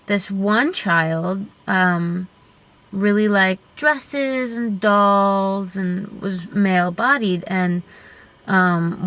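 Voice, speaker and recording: Young female-bodied genderqueer vlogger, high voice, slow earnest pace, reflective and thoughtful, home video-diary delivery. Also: a bit bright, rough recording. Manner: reflective, earnest, thinking aloud with fillers. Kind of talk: personal vlog monologue.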